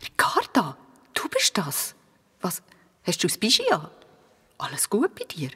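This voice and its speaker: A woman playing a concerned, easily flustered neighbour in a children's radio play, breathy and hesitant, with a Swiss accent. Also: lowish voice, wildly swooping pitch, measured pace, in a whisper. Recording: echoey stairwell reverb.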